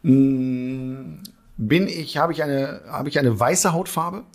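A man explaining the rules of a guessing game, then playing it in relaxed, joking chat, laughing often. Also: mid-range voice, slow pace.

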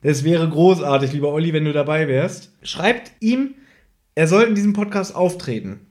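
A man hosts a fan podcast from home with a slightly high voice. His delivery is casual and humorous, like relaxed talk with a friend over a shared microphone. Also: normal speed. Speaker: podcast host and audio drama fan. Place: living room at home. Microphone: one microphone shared with co-host.

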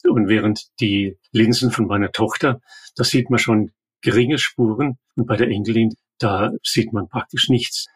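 A man's voice: fairly low pitch, moderate pace, breathy edge. An older man, an eye doctor, explaining matter-of-factly in an interview. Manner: calm, explanatory, matter-of-fact. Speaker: ophthalmologist guest. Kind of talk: expert interview.

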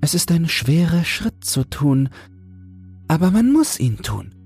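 A man's voice: on the high side, big pitch swings, a little slow, gravelly, a little mellow, breathy edge, faint music behind, voice pushed up, heavily acted. A man voicing a small, naive female toad full of yearning and wonder in a fairy tale, earnest and exclaiming. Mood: restless longing. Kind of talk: fairy tale audiobook character voice.

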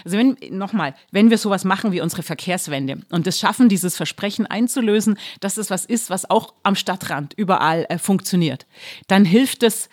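A woman politician answering interview questions in a measured, explanatory way, pausing often with fillers. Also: mid-range voice, lively intonation, darkish tone. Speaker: politician running for a district seat.

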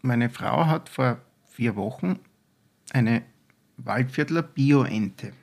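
Older Austrian man, gravelly voice, chef chatting eagerly, rambling. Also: medium pitch, lively intonation, takes it slowly. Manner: enthusiastic, rambling storyteller with many restarts. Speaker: guest chef. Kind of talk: casual podcast chat.

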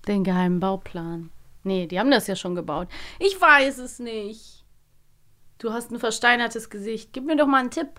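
A woman co-hosting a casual chat, talkative and quick to react. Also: fairly high pitch, expressive, fairly bright tone. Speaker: podcast co-host.

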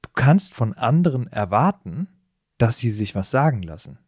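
A man's voice: medium pitch, sing-song, normal speed, darkish tone, breathy edge, dry recording, clean studio sound. A man hosting a podcast, giving casual, direct advice in a coaching manner. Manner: coaching, direct, often laughing.

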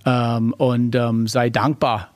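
A man's voice: mid-range voice, little pitch movement, no echo. A man from the United States with an American accent, speaking German as a second language, searching for words and restarting often as an interviewee. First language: English. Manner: halting non-native German, searching for words, many restarts. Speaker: human rights investigator. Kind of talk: interview conversation.

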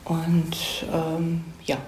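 Low-voiced woman explaining in an echoey room. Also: completely flat delivery, extremely slow, mellow, slight background noise. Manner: thoughtful, hesitant, self-correcting, seeks agreement with tag questions. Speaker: interview guest, screenwriter. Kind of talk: casual explanatory talk. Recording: roomy echo.

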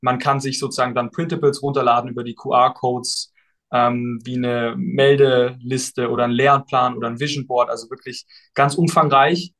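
A young man, a guest on a podcast, answering questions in a relaxed and thoughtful way, with frequent fillers. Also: mid-range voice, normal speed. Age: young adult. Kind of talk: casual podcast interview.

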